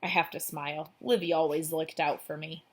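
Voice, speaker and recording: A woman reading a children's book aloud as narrator, in an expressive storybook style. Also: deep voice, moderate pace.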